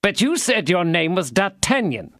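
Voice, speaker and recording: A man's voice: high-pitched, sing-song, fairly bright tone, no room sound, studio quality, voice pushed up, exaggerated voice. A man voicing a stern, officious police commissary interrogating a prisoner. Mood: confused. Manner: interrogating, officious, slow and emphatic.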